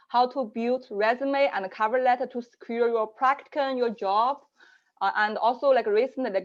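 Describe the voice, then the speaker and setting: A woman's voice: on the high side, dull audio. Woman hosting an online info session. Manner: measured, with frequent "uh" fillers. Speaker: global programs manager hosting an info session. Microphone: video call.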